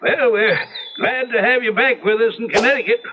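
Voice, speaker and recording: An actor playing a folksy, hesitant New England country neighbor in an old-time radio drama, with halting, homely speech. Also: male voice, very high voice. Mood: neighborly. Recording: noisy, muffled old radio recording.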